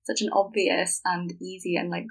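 A woman's voice: fairly low pitch, level tone, normal speed, creaky, breathy edge. A woman from Germany speaking as a podcast guest in a thoughtful, earnest, conversational way. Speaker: podcast guest, filmmaker and actor.

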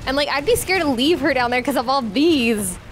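A woman's voice: sits high, animated, fairly quick, background noise. A young woman giving casual reaction commentary as a video host on a close studio mic. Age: young adult. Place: small indoor studio, game audio behind.

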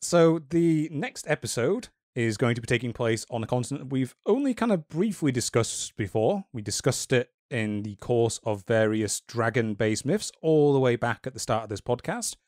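A man hosting a casual podcast, talking in a measured way with wry, dry humor. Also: mid-range voice, lively intonation, a little mellow, no room sound. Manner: measured, wry and dryly joking. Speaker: podcast host.

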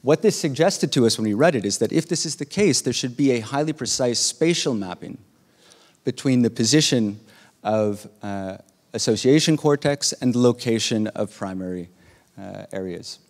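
A man delivers an academic keynote from the stage of a large lecture hall, walking the audience through his slides. He speaks at a medium pitch and pauses often with "uh" as he explains. His voice carries a long, echoing reverb from the hall. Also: expressive, darkish tone. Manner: measured, with frequent "uh" pauses while explaining slides. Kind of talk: academic conference talk.

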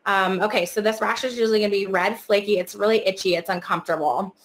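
A woman in her thirties with a slightly hoarse voice that is starting to give out. She talks in a casual, chatty way with plenty of fillers. She is heard through a webcam from an indoor room. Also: mid-range voice, steady tone, quick pace, slight background noise. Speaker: herbalist and esthetician guest. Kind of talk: webinar presentation.

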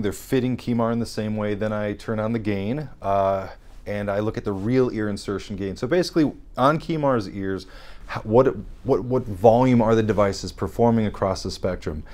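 A man in his thirties speaks in a somewhat low voice, walking through technical procedures step by step. His delivery is measured and explanatory, with occasional restarts. He sits on a studio set, picked up by an off-camera mic. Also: darkish tone. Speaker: hearing scientist guest. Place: dark studio set with armchairs.

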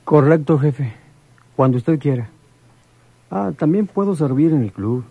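An actor playing an eager but resentful, defensive henchman with a husky voice in a radio serial. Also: fairly high pitch, lively intonation, a little slow, no echo, narrow, tinny audio, exaggerated voice. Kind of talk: radionovela acting.

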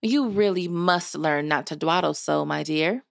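A woman's voice: low-pitched, measured pace, gravelly, no echo, studio quality. A woman voicing a haughty, harsh stepmother in a storybook, condescending and affectedly polite. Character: haughty, harsh stepmother of middle years, falsely sweet.

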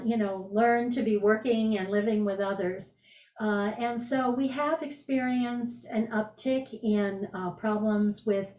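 A woman's voice: mid-range voice, warm tone. A woman in her sixties talking casually from her home kitchen on a video call, thoughtful and hesitant with many fillers. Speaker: program leader and cooking host. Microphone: video call laptop mic. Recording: roomy, dull video call audio.

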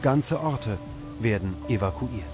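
A man's voice: medium pitch, animated, slow. Male documentary narrator over music. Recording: music behind the voice. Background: background music.

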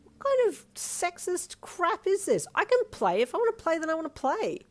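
A woman voicing a feisty, determined young woman in an acted audio story, emphatic and quick to snap. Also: high voice, voice pushed up. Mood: indignant. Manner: emphatic, excitable.

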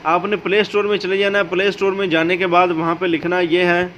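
A man's voice: sits high, fast. A man narrating a how-to video as a tutorial host. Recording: dull lo-fi audio, steady background noise.

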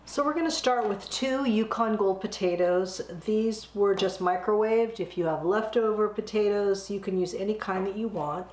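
A middle-aged woman hosting a home cooking channel, a bit hoarse from a cold, explaining a recipe step by step in a friendly, chatty way. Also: medium pitch, normal speed, hardly any pauses, darkish tone, roomy sound. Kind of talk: casual cooking demonstration. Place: home kitchen, indoors.

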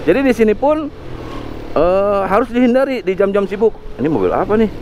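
A man's voice: high-pitched, big pitch swings, brisk, a little mellow. Chatty man narrating from a scooter. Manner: chatty, thinks aloud, frequent fillers. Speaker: motovlog host. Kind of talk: casual narration while riding. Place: outdoors on a motor scooter in town traffic. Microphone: helmet or body camera mic. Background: faint traffic and engine noise.

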